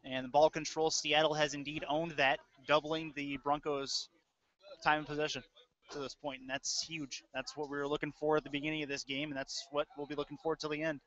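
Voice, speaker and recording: Male football play-by-play commentator, forward bright tone, clipped and casual, slightly muffled webcast sound. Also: a little high, level tone, fairly quick. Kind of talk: live American football commentary. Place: indoor audio-only webcast. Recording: dull lo-fi audio.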